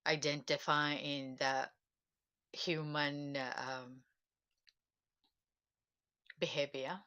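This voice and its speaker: Slow, halting Japanese-accented woman. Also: sits low, little pitch movement, pushed and bright, smooth. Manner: halting, searching for words, many pauses. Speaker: self-help channel host. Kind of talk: reflective solo livestream talk.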